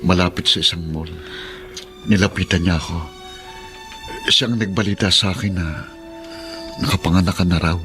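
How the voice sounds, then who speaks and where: A man's voice: deep voice, fairly even intonation, moderate pace, pauses often, pushed and bright, soft background music. A man acting in a Filipino radio drama as a remorseful, humble young husband. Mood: contrite, pleading.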